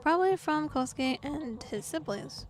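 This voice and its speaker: A young adult woman streaming, narrating a visual novel aloud and breaking into excited, laughing reactions. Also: high voice, moderate pace, a little hiss or hum. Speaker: VTuber streamer.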